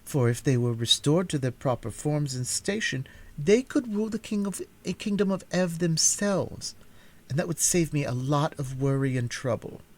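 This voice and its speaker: A vain, imperious storybook princess, as a man puts her on, pitched far up and swinging wildly in tone. Her outbursts come out shrill and commanding. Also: expressive, moderate pace, darkish tone, natural voice. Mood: eager. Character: haughty vain princess, quick to fury.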